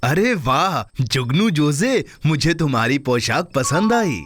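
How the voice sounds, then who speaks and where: Kindly cartoon companion, slightly high voice, very animated and encouraging, for a children's show. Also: male voice, slow, slight background noise, full character voice. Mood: cheerful, approving. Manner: reassuring, expressive. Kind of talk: children's cartoon dub acting.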